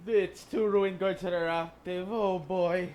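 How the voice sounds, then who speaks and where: A man's voice: sits high, steady tone, measured pace, a little mellow, a little hiss or hum. A man giving casual gameplay commentary as a player, muttering short reactions to what happens. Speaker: gaming channel player.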